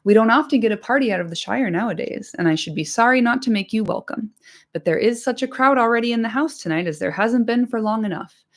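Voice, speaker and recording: A woman's voice: on the high side, animated, voice pushed up. A woman doing a chatty bustling innkeeper. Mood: chatty, harried. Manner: brisk, talkative, hurried. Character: fat bustling bald male innkeeper, chatty and harried.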